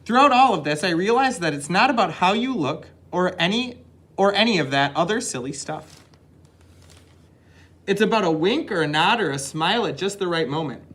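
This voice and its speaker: Animated storytime reader with dramatic pauses. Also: mid-range voice. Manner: dramatic pauses, drawn-out words for emphasis. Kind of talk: expressive children's picture book read-aloud.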